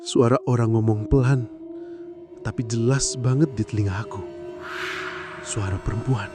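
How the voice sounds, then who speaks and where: A man narrating a horror story in the first person, suspenseful and theatrical, pausing between short phrases. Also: mid-range voice. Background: background music.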